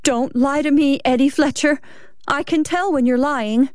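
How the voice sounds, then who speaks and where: A woman voicing an anxious, suspicious wife, insistent and sharp as she presses her husband in a story. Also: sits high, expressive, unhurried, dry recording, studio quality, voice pushed up. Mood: sharp, accusing.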